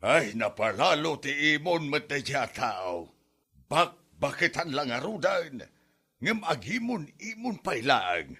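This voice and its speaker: A man's voice: on the high side, very animated, slow, sharp tone, breathy edge, heavily acted. A man playing a henpecked, exasperated elderly husband in an Ilocano comedy drama, whining and protesting in an Ilocano Filipino accent. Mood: aggrieved. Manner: whining, protesting, stammered yelps.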